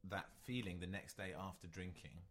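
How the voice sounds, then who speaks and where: A man's voice: deep voice, fairly quick, a bit bright. A man co-hosting a podcast, chatting casually and rambling with many restarts. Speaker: podcast co-host. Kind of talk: casual chat.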